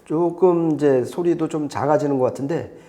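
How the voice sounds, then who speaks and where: A man with a fairly high voice and a mellow, dark tone. He presents as a hi-fi expert in a conversational teaching style, laying things out step by step and restating key points. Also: normal speed. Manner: didactic, explains step by step with repetition. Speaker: hi-fi expert presenter explaining audio setups. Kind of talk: conversational explainer.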